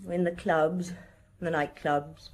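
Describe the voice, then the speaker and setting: Brittle, elegant, nervous wife. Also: female voice, low-pitched, fairly even intonation, slow, a little hiss or hum. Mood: bitter, anxious. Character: elegant, nervous, bitter wife of a missing solicitor. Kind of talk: radio drama acting.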